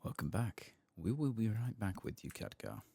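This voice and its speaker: Low-pitched soft-spoken man with creaky voice. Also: very animated, a little hiss or hum. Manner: murmured, unhurried musing to himself. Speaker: gaming video host. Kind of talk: soft-spoken ASMR game commentary, sometimes whispered.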